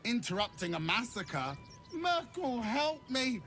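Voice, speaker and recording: A woman playing a flamboyant, exasperated necromancer ringmaster in a game, theatrical and haughty with grand drawn-out phrasing. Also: a little high, big pitch swings, fairly bright tone, a little hiss or hum, full character voice. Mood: grand introduction.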